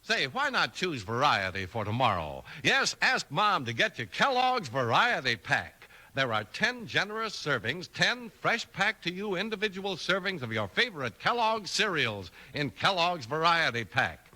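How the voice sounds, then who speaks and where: A man's voice: a little high, sing-song, moderate pace, few pauses, bright, forceful tone. An American man announcing and selling in an emphatic, punchy 1950s radio style. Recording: 1950s radio transcription with music.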